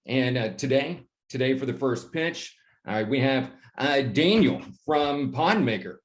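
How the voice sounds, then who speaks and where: A man hosting a pitch event, upbeat and moving quickly between guests. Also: animated. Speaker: host. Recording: roomy sound.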